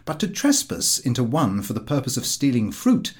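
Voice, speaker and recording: Very animated male audiobook narrator. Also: mid-range voice, moderate pace. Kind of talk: scripted literary narration of a comic travelogue.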